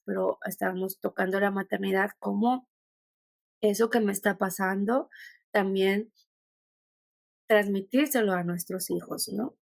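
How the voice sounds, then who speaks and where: Gravelly Mexican woman, thoughtful. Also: medium pitch, darkish tone, no echo. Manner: thoughtful, meandering, with many restarts and fillers. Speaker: podcast guest. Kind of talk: conversational podcast interview.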